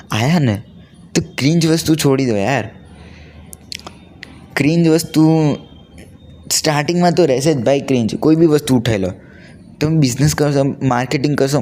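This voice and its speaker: A man's voice: mid-range voice, a little mellow. A teenage male vlogger with a Gujarati accent gives an unscripted, earnest pep talk in colloquial speech mixed with English words. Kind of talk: unscripted casual motivational talk to camera.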